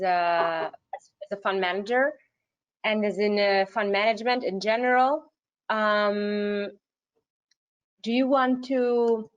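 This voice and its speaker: Slow-talking woman host on dull call audio. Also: mid-range voice. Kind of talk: moderating, handing over to speakers. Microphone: conference call.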